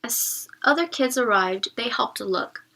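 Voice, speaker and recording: A woman reading a children's story aloud as a teacher, pausing to ask questions and explain words expressively. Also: on the high side, animated, sharp tone.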